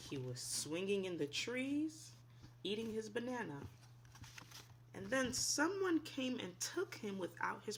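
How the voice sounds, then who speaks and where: A woman's voice: fairly low pitch, a bit bright, a little hiss or hum. A woman reading a children's picture book aloud expressively, in an instructive way, asking young listeners simple questions. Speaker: read-aloud storyteller, teacher.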